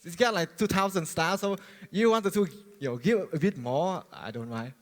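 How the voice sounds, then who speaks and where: A man gives a friendly technical talk from a conference stage. His voice sits a little high, and he stops often on fillers and restarts. Long reverb from the large hall trails each phrase. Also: normal speed. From Vietnam. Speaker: conference speaker.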